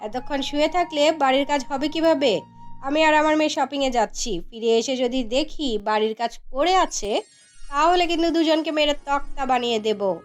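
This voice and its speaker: Woman acting high-voiced cruel cartoon stepmother. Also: normal speed, soft background music, lightly acted. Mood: menacing, bossy. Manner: scolding, threatening. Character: cruel, bossy stepmother scolding her stepdaughters. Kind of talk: cartoon character dialogue.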